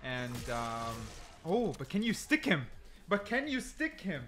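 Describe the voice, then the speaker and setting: Male gaming creator, animated, slightly high voice, casual think-aloud delivery with emphatic repetition. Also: measured pace, slight background noise. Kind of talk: casual gameplay commentary. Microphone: desk mic, sometimes turns away from it.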